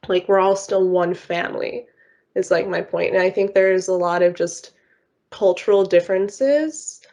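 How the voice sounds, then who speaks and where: A woman's voice: lowish voice, normal speed, a little mellow. A young woman from the United States, a podcast guest, talking thoughtfully with many "like" and "you know" hedges. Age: young adult. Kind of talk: casual podcast discussion.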